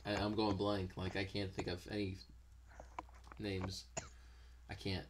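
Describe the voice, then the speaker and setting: A man's voice: deep voice, completely flat delivery, unhurried, noisy background. A young American man chatting casually in a quiz, stammering and putting himself down when he gets stuck. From United States. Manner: hesitant, stammering, self-deprecating. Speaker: quiz co-host.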